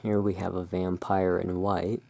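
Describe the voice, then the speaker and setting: A hushed, soothing and unhurried voice in an ASMR roleplay, playing the owner of a gothic curiosity shop. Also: deep voice, level tone, creaky. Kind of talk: ASMR roleplay, showing tarot cards.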